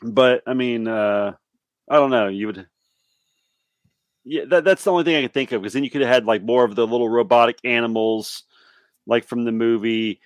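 A man's voice: medium pitch, moderate pace, pauses often, dry recording. A man taking part in a casual podcast chat, thinking aloud in a hesitant, conversational way. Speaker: podcast guest guessing along.

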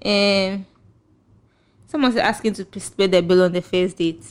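A young woman with a Ghanaian accent chats loosely with a friend and laughs easily between reactions. Her voice sits at a middle pitch, and she talks in a casual, unscripted way. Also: fairly even intonation, moderate pace, clear, resonant tone. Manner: chatty, laughs often, reads out and reacts to listener posts. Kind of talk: casual chat.

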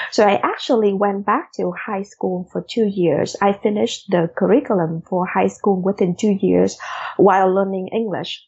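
A woman in her forties from Vietnam, with a Vietnamese accent, speaking as an interview guest in a measured way, often saying "you know". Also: medium pitch, vocal fry, darkish tone, slightly rough, lo-fi sound. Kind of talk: conversational interview.

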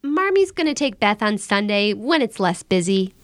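An actress playing an outspoken, wisecracking young American elder sister in a naturalistic modern audio drama, with blunt, casual banter. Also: female voice, medium pitch, very animated, normal speed, no echo, close to an everyday voice. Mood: teasing, affectionate. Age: young adult.

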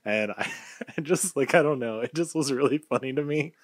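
A man's voice: medium pitch, normal speed, no room sound, studio quality. A giggly man chatting casually as a podcast co-host, often laughing as he talks. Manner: giggly, breaks into laughter while talking. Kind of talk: casual chat.

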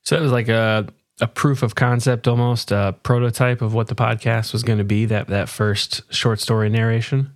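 A man's voice: medium pitch, steady tone, moderate pace, dry recording. A man hosting a podcast, asking his guest questions in a casual, conversational style. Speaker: podcast host interviewing.

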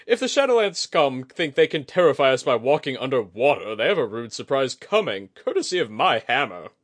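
Male narrator as belligerent fantasy war commander: harsh, boastful, commanding, animated delivery. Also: medium pitch, brisk, pitched above the performer's own voice. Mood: mocking. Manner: loud, commanding, scornful.

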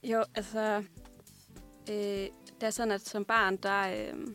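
A woman's voice: mid-range voice, little pitch movement, fairly bright tone, soft background music. A woman from Denmark answering interview questions as a guest musician, casually and reflectively, feeling her way through her sentences. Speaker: guest singer, songwriter and pianist.

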